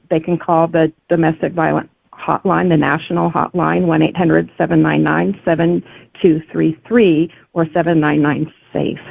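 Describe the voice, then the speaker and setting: A woman's voice: sits low, gravelly, slightly rough, no room sound. A woman author being interviewed, talking earnestly and conversationally over a tinny phone-like connection. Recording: dry, tinny phone-line sound.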